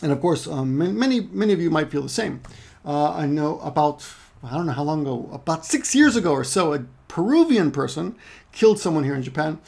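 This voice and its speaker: A man's voice: on the high side, sing-song, brisk, gravelly, a little mellow. Rambling middle-aged man teaching Japanese. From Peru. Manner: rambling, self-correcting, joking asides. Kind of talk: casual video lesson.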